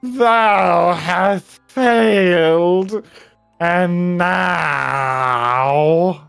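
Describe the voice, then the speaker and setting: A woman voicing an evil dark wizard who is angry and commanding, speaking archaically in a grandiose, drawn-out, menacing way. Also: on the low side, creaky, smooth, faint music behind, exaggerated voice. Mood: menacing rage.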